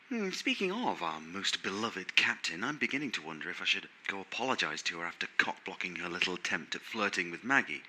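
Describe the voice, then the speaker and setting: Sardonic robot crewmate for sci-fi audio drama, male actor: conceited, rambling, theatrical, very animated intonation over music. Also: sits low, heavily acted, rough recording. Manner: theatrical, sarcastic, rambling monologue. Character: vain, sardonic, sweary male robot crewmate, insecure underneath. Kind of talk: scripted audio drama monologue.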